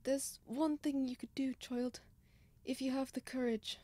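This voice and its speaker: A woman's voice: high voice, steady tone, unhurried, warm tone, dry recording. A woman playing a pious, timid, kindly old male servant, lamenting in old-fashioned radio drama style. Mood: kindly, concerned.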